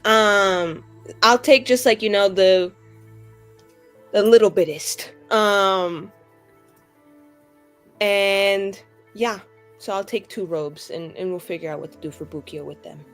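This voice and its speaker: A woman's voice: mid-range voice, slow pace, pauses often, faint music behind. A woman playing a tabletop roleplaying game, casually improvising and thinking aloud in a rambling way.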